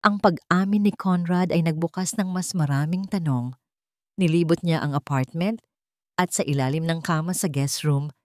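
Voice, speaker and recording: A woman's voice: on the low side, darkish tone, dry recording, studio quality. A woman storyteller giving a dramatic, scripted narration of a love story.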